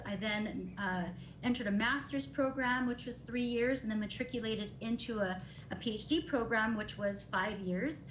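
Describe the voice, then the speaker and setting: A woman's voice: mid-range voice, fairly bright tone. A woman in her forties from Honolulu giving formal testimony as a neuropsychologist, speaking hesitantly and matter-of-factly. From Honolulu, Hawaii. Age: 40s. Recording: echoey room, faint noise, video call.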